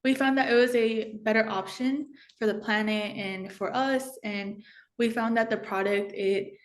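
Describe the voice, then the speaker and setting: A woman's voice: on the high side, steady tone, measured pace. A teenage girl, a student company CEO, explaining her product in an interview, careful and a little hesitant. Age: teens. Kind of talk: interview answers.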